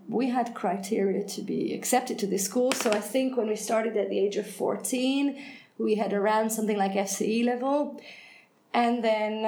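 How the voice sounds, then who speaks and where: A woman's voice: fairly high pitch, a little mellow. A woman from Hungary, speaking English as a guest in an unscripted conversation, thoughtful and often correcting herself. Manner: thoughtful, self-correcting, frequent hesitations. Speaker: head of English department, guest. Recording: echoey room, faint noise.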